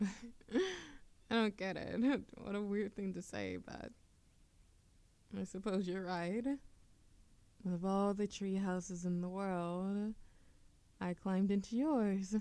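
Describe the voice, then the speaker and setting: A woman playing an affectionate, playful and easily flustered young witch in love, speaking softly and haltingly in an intimate romantic audio roleplay. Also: medium pitch, steady tone, clear, resonant tone, no echo. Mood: tender, playful. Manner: soft, halting, teasing, giggly.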